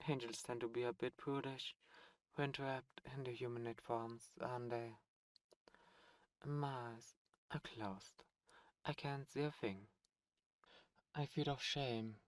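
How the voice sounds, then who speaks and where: Guy doing a smooth teasing demon prince for asmr, soft spoken, kinda menacing, takes his sweet time. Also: mid-range voice, steady tone, takes it slowly, long pauses, dry recording, natural voice. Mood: silky teasing. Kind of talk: ASMR audio roleplay.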